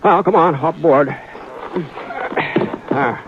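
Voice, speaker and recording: A man playing a laconic frontier rancher in a 1950s radio drama, talking haltingly with many uh's, on a hissy, muffled old recording. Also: on the high side.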